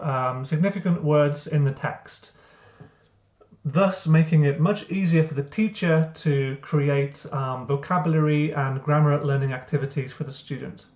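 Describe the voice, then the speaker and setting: Man explaining software, echoey lo-fi. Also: a little high. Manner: explanatory, many uh and um fillers. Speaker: software founder giving a tutorial. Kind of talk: screen-recorded software walkthrough. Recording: roomy, dull lo-fi.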